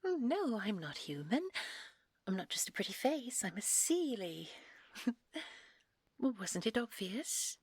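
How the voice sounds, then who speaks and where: Fairy aristocrat, played by a woman: prim, vain, naive, lilting and breathy, close dry audio roleplay sound. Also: medium pitch, big pitch swings, takes it slowly, pauses often, darkish tone, heavily acted. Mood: polite, flustered. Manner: prim, fluttery, hesitant politeness.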